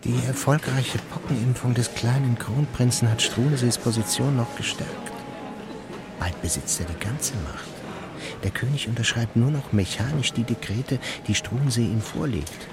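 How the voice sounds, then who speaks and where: An actor playing a scheming, falsely humble courtier in a German radio drama, deliberate and insinuating in his delivery. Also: mid-range voice, over music, close to an everyday voice. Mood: conspiratorial reporting. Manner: deliberate, insinuating, self-important humility. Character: scheming pious male courtier, falsely humble, tenacious, watchful.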